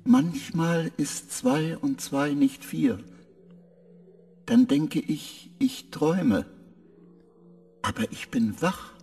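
A dazed machine mind, a male spacecraft AI played by a man in a radio play, drifting between short flat answers and eerie visions. His voice is dark and gravelly, swinging widely in pitch, slow and unhurried, with music faintly under it. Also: heavily acted. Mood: uneasy.

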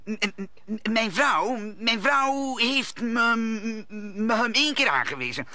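An actor playing a fretful landlord and husband in a radio play, nervous and halting, stammering as he speaks. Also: very high voice, animated, pushed and bright, no room sound, exaggerated voice. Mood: agitated, stammering. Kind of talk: Dutch radio play acting.

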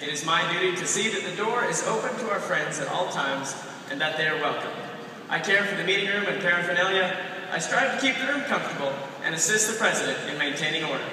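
High-voiced young man in arena echo. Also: lively intonation, pushed and bright, slight background noise. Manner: formal, measured. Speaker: sentinel of a youth organization. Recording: echoey arena PA from far off.

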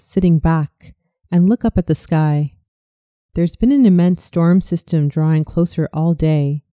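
A narrator reading a scripted guided visualization story in a soothing, measured manner. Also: mid-range voice, dark tone, no room sound.

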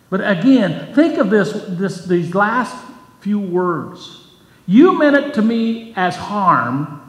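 Older man preaching in an echoey church. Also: high-pitched. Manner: earnest, measured preaching with scripture readings. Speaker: pastor. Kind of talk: sermon. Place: church sanctuary, at a pulpit. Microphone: pulpit microphone, far camera. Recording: echoey church hall from far off.

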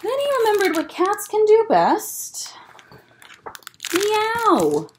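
Animated young woman reading slowly to children. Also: very high-pitched. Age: young adult. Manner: expressive, deliberate storytelling. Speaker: librarian storyteller. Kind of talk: picture book read-aloud for children. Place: indoor library room.

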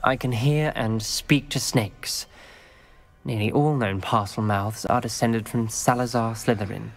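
A man's voice: medium pitch, steady tone, a little slow, lightly acted. A man playing a troubled, principled teenage schoolboy wizard in a video game, speaking with a British accent in a formal, earnest way. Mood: reluctant. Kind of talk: dramatic video game voice acting.

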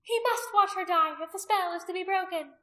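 A woman's voice: extremely high pitch, moderate pace, bright, forceful tone, reverberant, pitched above the performer's own voice, heavily acted. A woman narrator playing a cruel, commanding pagan priestess in an audiobook story, accusing and imperious. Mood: furious commands.

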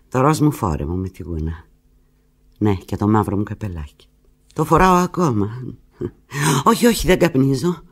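An actress playing an anguished, lovelorn woman alone on the telephone in a theatrical radio monologue, pleading and fretful, with halting replies. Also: rumbling low, husky, full character voice.

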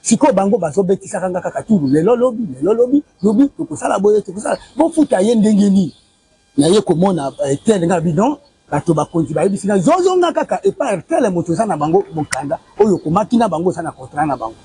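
A man's voice: sits high, big pitch swings, fast, mellow, breathy edge, dry recording. A Congolese man in his forties, interviewed outdoors on a lapel mic, insistently recounting a family money dispute and repeating dates and sums. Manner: insistent, repeats dates and figures, waves documents. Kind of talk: street interview, recounting a complaint. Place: outdoor courtyard by a building.

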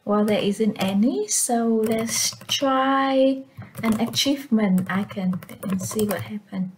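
A woman whose first language is Vietnamese, narrating a step-by-step software tutorial as its presenter. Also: fairly high pitch, takes it slowly, a little hiss or hum.

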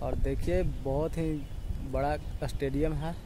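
A man's voice: fairly high pitch, fairly even intonation, measured pace. A man in his twenties from India talking casually to the camera as a travel vlogger, recorded on a handheld phone. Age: 20s. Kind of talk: casual vlog narration to camera. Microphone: phone at arm's length. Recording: noisy phone recording, street and vehicle ambience.

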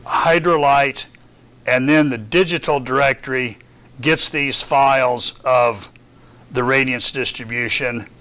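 An older man from Texas with a Southern accent, casually walking a class through software in a darkened lecture room, thinking aloud with self-deprecating asides. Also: medium pitch. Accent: Southern US, Texan. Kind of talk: software lab walkthrough. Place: dark lecture room with projector screen.

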